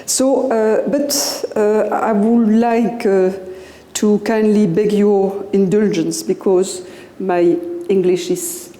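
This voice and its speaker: An older woman with a Belgian French accent, a native French speaker, giving a public lecture in English at a podium microphone, measured and pausing often with "uh". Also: medium pitch, steady tone, dark tone. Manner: slow, measured, with frequent "uh" pauses. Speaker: guest lecturer. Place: lecture room. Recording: echoey hall with long reverb.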